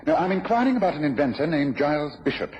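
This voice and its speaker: British gentleman detective, quick-talking, lively intonation, precise, courteous, radio drama. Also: a little high. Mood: genial, inquiring. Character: Sherlock Holmes, brisk, inquisitive gentleman detective. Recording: dull, noisy radio recording.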